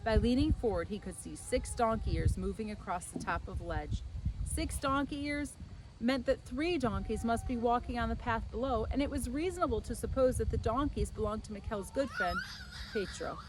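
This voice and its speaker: A woman narrating a children's novel aloud in an expressive storytelling style. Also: a little high, moderate pace, background noise. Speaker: storybook reader.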